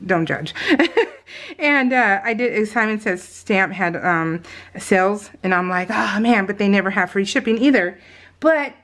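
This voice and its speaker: A middle-aged woman with a mid-pitched voice talks casually as a craft vlogger. She rambles in a chatty, unscripted way. Her manner is giggly and self-amused. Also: measured pace, fairly bright tone.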